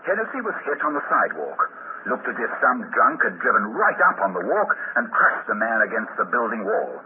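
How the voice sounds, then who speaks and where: An actor playing a matter-of-fact police investigator reporting plainly. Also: male voice, medium pitch, big pitch swings, a little fast, a little hiss or hum, lightly acted. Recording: distant tinny vintage radio broadcast.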